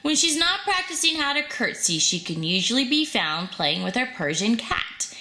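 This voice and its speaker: Woman storybook reader for young children, higher-pitched and very lively, expressive delivery. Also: moderate pace, a little hiss or hum. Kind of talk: children's story read aloud.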